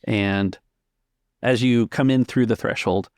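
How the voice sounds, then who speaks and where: A man narrating a tabletop game as game master, descriptive and measured, pausing mid-phrase. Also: medium pitch, fairly even intonation, a little mellow, clean tone, no room sound, clean studio sound. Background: music.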